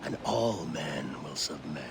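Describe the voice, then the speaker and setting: Gravelly, theatrical pirate captain. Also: male voice, extremely low pitch, animated, background noise, heavily acted. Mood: brooding, menacing. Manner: theatrical, deliberate, commanding. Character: fearsome, theatrical pirate captain, brooding and menacing. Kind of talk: video game voice acting.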